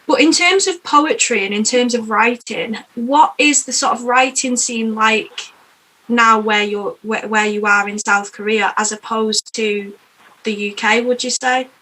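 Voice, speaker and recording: A woman's voice: a little high, a little slow, sharp tone, a little hiss or hum, low-quality recording. A woman from the UK hosting a podcast, chatty and eager, often affirming her guest. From United Kingdom. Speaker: podcast host. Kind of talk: casual interview chat.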